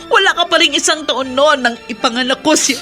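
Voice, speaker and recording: A woman's voice: high-pitched, fairly quick, bright, forceful tone, faint music behind. A woman acting an anguished, pleading mother in an emphatic, melodramatic radio drama. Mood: anguished confession. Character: anguished mother confessing a long-hidden family secret.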